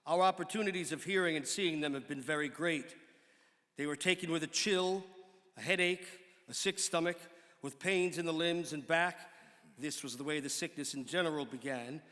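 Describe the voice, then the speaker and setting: Man reading an old document out loud, measured and formal, slightly high voice, echoey hall. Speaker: actor giving a dramatic reading. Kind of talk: dramatic reading of an 18th-century pamphlet. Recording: reverberant hall.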